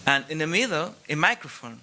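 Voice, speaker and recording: A man from Chile with a Chilean Spanish accent, a non-native speaker asking a question from the audience, halting and searching for English words. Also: fairly high pitch, very animated, noisy background. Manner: hesitant, searching for English words, many restarts. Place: large hall, audience Q&A.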